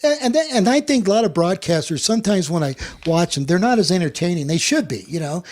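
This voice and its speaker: A man's voice: high-pitched, animated, fast. A man hosting a sports talk show, telling anecdotes in casual chat and often repeating words as he goes.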